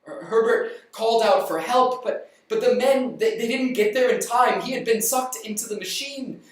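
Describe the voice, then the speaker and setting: A storyteller voicing a nervous, solemn man from a factory who speaks haltingly. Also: mid-range voice, pitched above the performer's own voice, lightly acted. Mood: sorrowful. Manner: hesitant, halting. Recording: roomy, distant mic.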